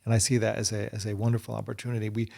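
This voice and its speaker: A man's voice: lowish voice, little pitch movement, racing, gravelly, darkish tone. A middle-aged man answering interview questions earnestly, often restarting and repeating words. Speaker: guest, Bible society secretary.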